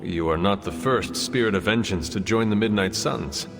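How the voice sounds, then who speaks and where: Casting: male actor as grave old-world game warrior, low voice, formal and courteous, set in a reverberant hall. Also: normal speed, over music, lightly acted. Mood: solemn, courteous. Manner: formal, archaic phrasing without contractions. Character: solemn, courteous ancient warrior hero. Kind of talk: video game cutscene acting.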